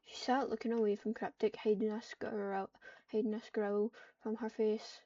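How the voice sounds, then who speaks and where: A woman's voice: medium pitch, level tone, normal speed, warm tone. A woman narrating an online roleplay story, reading out the action between lines of character dialogue. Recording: dull, lo-fi, distant microphone.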